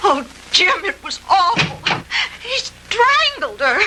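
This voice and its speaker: An actress playing a frightened, anguished young heroine in a pleading, dramatic 1960s B-movie style. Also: female voice, extremely high pitch, big pitch swings, slow pace, heavy background noise, full character voice. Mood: distraught. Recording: old film soundtrack, loud music behind.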